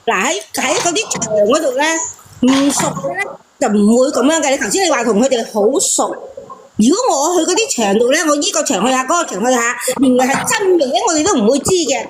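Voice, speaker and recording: A woman's voice: high voice, animated, a little fast. A woman with a Cantonese accent arguing her reasoning insistently in casual chat over noisy, clicky audio.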